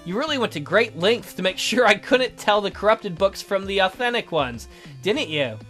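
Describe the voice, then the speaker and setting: A man's voice: sits high, expressive, normal speed, fairly bright tone, faint music behind, pitched above the performer's own voice. A man voicing a bitter, sarcastic youth trapped in a child's body, reading visual novel dialogue with theatrical indignation. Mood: accusing. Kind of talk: visual novel character read-aloud.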